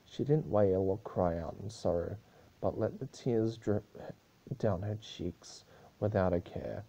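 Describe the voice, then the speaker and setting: A man's voice: fairly low pitch, a little slow, gravelly, dark tone, lo-fi sound. A man narrating fan fiction as an amateur dramatic reader, occasionally stumbling over the words.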